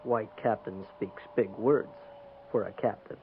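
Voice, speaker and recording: A man's voice: lowish voice, measured pace. A narrow, noisy 1950s radio recording carries a young band leader. He is proud and defiant, making terse, solemn pronouncements in stilted English. It is declamatory western radio acting. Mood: proud, boastful.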